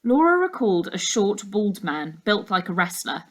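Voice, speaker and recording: A woman's voice: medium pitch, sing-song, normal speed. Woman reading a children's story aloud. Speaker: teacher reading a class book aloud. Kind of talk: expressive children's storybook narration.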